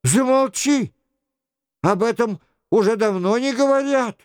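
Man voicing an exasperated king, storybook, high-pitched, indignant, agitated exclamations, clear sound. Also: unhurried, no echo, clean studio sound. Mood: alarmed, annoyed. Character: indignant, exasperated king, hissing insults through gritted teeth.

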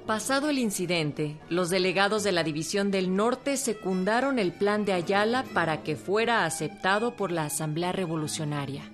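Steady woman narrator over soft violin. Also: medium pitch, normal speed, few pauses. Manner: steady, informative delivery. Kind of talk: scripted narration. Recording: faint violin music underneath.

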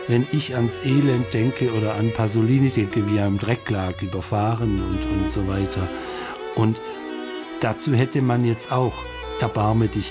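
A man giving reflective, unscripted commentary, musing haltingly and repeating phrases for emphasis. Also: mid-range voice.

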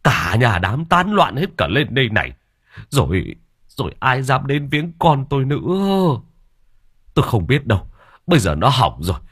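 A man playing a hot-tempered older villager, scolding hard with pitch rising and falling, husky low voice. Dry close sound. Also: very animated, brisk, fairly bright tone, exaggerated voice. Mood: angry.

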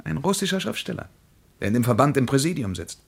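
An actor playing an eager, insistent German traveller in a radio play, speaking with impassioned emphasis. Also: male voice, mid-range voice, sing-song, fast, gravelly.

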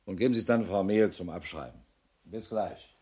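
Guy playing tired middle-aged cop in a radio drama, deep soft-edged voice, dry and matter of fact. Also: normal speed, a little hiss or hum, natural voice. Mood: weary, placating. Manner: matter-of-fact, dry, clipped official phrasing. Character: harried, world-weary male police investigator with a wife. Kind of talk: German radio drama acting, dictated report.

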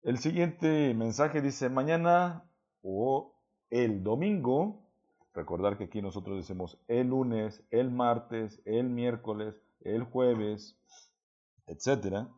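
A man with a Mexican accent talks through a student's written work in an unhurried, patient way. He hesitates often, restarts his sentences and explains each point carefully. His voice is low and mellow, heard on a dull, lo-fi recording. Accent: Mexican Spanish. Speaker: Spanish teacher. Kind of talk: recorded lesson correcting student writing.

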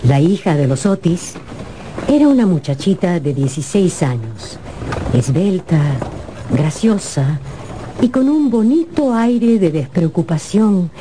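A woman narrating a scripted radio drama as an expressive, theatrical storyteller. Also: fairly low pitch, unhurried, noisy background.

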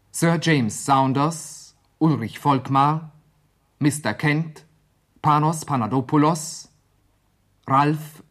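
A radio announcer reading scripted credits formally in standard German, with measured, precise enunciation. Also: medium pitch.

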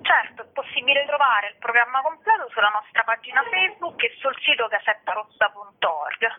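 A woman's voice: fairly high pitch, animated, a little fast. A woman being interviewed as an event organiser, presenting a programme. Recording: tinny phone line.

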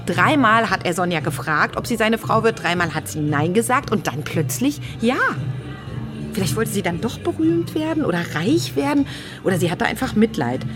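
A woman's voice: medium pitch, lively intonation, normal speed, over music, natural voice. An actress playing a woman who talks and reflects in a German radio drama. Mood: matter-of-fact, reflective.

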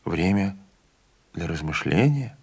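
A man's voice: low-pitched, sing-song, slow pace, creaky, darkish tone, husky, heavily acted. A man voicing an anxious, doubtful young student with a tense, questioning tone. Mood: uneasy.

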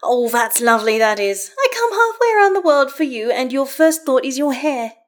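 A woman voicing a boisterous, affectionate female friend in a story, loud, cheeky and exclamatory. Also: fairly high pitch, a little fast, pitched above the performer's own voice, lightly acted. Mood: teasing.

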